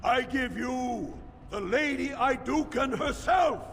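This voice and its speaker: An actor playing a formal, deferential dwarf arena master in a fantasy video game, with a declamatory delivery. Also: male voice, very high voice, slow pace, gravelly, a bit bright, exaggerated voice. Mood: grand announcing. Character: formal, ceremonious male dwarf arena master announcing fights. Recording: long hall reverb, some background noise.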